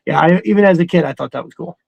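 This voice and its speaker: A man's voice: a little high, very fast, creaky, dry recording, muffled recording. A man chatting casually as a podcast co-host, enthusiastic and rambling, with some swearing thrown in. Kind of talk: casual chat about cartoons.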